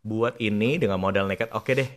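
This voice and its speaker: A male podcast host with a low voice and an Indonesian accent. He asks questions in a casual, slangy way and often switches into English mid-sentence. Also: brisk. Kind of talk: casual interview chat.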